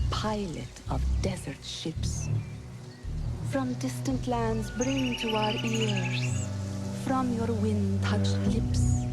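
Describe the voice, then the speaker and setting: An actress playing a proud, refined female poet in a video game, speaking with deliberate elegance. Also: mid-range voice. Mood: dreamy, lyrical. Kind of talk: poetic video game drama acting. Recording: clear background music with birdsong.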